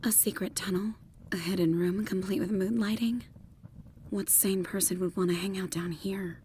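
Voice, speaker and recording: A woman's voice: mid-range voice, steady tone, normal speed, mellow, slight background noise, lightly acted. A woman voicing a resolute but anxious young woman's inner thoughts in a dramatised audiobook, urgent and self-questioning. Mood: hushed, uneasy.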